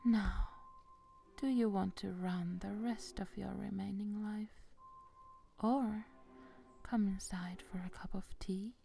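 Woman acting as creepy haunted-house hostess, slow, halting whisper, very mellow dark timbre, falsely solicitous, horror roleplay. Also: mid-range voice, steady tone, vocal fry. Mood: eerie welcome. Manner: hushed, halting, eerily solicitous. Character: Rose, falsely caring young hostess of a haunted manor.

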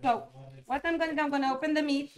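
A young woman with a high voice streams live from her kitchen, chatting loudly and playfully and swearing often. Loud background music plays under her voice on a boom mic. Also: steady tone, fast. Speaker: streamer host. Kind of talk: casual live-stream chat while cooking. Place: home kitchen. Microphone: boom mic on stand.

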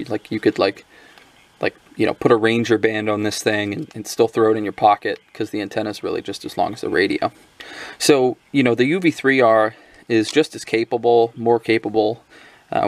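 A man giving a casual, off-the-cuff product overview in a halting, conversational manner. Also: fairly low pitch. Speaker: product reviewer. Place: indoors at a tabletop.

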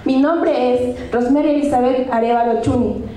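A woman's voice: sits high, steady tone, moderate pace, warm tone, recorded from a distance. A woman of twenty-one with an Ecuadorian accent, formally introducing herself as a pageant contestant. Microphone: handheld microphone. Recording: very echoey hall.

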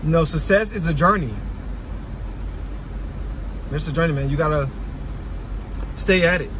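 A man's voice: fairly high pitch, measured pace, a bit bright, rough recording. A young man vlogging from his car, talking casually and repeating "like I said" and "you know what I'm saying" as he rambles on. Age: young adult. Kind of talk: casual motivational talk to camera. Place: parked car interior. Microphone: phone camera on dashboard. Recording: car interior with outdoor traffic noise.

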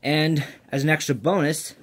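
A man's voice: fairly high pitch, steady tone, moderate pace, a little hiss or hum. An American man in his late twenties presenting a personal project vlog in an earnest way. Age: late 20s. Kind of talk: vlog, part scripted narration, part casual presenting to camera.

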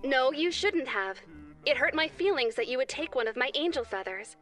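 A gentle female angel rabbit in a children's cartoon. The voice is fairly high and instructive, like a kids' show teacher, with some music behind it. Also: lively intonation, moderate pace. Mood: gently reproachful. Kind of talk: children's cartoon moral lesson.

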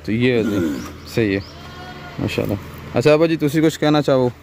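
A man's voice: mid-range voice, animated, normal speed, creaky, a little mellow, slight background noise. A young man hosting a farm vlog outdoors, talking in an easygoing, affirming way. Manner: agreeable, affirming, short responses. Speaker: vlog host, goat farmer. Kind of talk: casual vlog. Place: outdoor farmyard.

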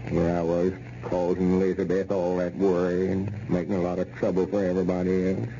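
A man's voice: deep voice, completely flat delivery, brisk, dark tone. An actor playing a proud, scheming old backwoods man with a rural Southern American accent and a folksy, exasperated drawl in an old radio comedy. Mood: dejected, ashamed. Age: older. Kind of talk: 1930s-40s radio comedy acting. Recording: dull, noisy old radio recording.

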